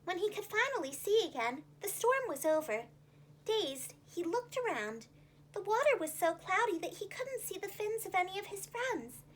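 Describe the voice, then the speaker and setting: A woman telling a children's story in an animated, expressive teacher's style. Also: extremely high pitch, normal speed, slight background noise, rough recording.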